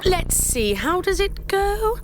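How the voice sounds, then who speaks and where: A woman playing an imperious, brisk noble lady from the future in a comedic sci-fi audio drama, airy and haughty in manner. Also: very high-pitched, big pitch swings, music in the background, full character voice. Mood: musing.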